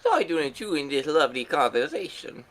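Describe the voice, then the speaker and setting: A man voicing a suave, cutting young German prosecutor, smooth and condescending, in amateur video game voice acting. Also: a little high, wildly swooping pitch, a little fast, noisy background. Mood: smug, reasoning. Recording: loud music behind the voice. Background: video game music.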